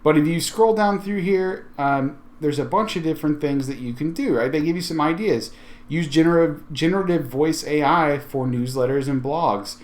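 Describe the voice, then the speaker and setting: A man's voice: a little high, moderate pace. Casual man hosting a tech tutorial. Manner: informal, conversational, thinking aloud. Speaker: tech video host. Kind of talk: casual software tutorial walkthrough.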